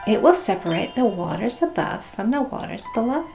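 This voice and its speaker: A woman voicing God as a grand storybook creator, giving slow, declarative commands. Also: on the high side, big pitch swings, creaky, darkish tone, faint music behind, rough recording. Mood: grand, commanding.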